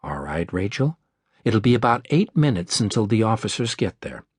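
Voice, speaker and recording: A man reading an audiobook, voicing a calm, reassuring woman working as an emergency operator, measured and firm. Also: on the low side, breathy edge, dry recording, studio quality. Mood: calm, warm. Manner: measured, soothing, controlled.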